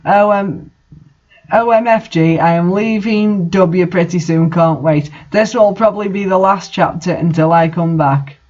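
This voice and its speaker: A man's voice: high voice, unhurried, a little mellow, dull audio. A man voicing a gushing, melodramatic, slangy teenage goth girl in a storybook reading.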